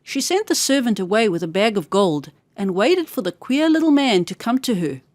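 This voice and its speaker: A woman reading a scripted fairy tale for children as a storyteller, expressive and carefully enunciated. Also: medium pitch, moderate pace, no room sound, clean studio sound.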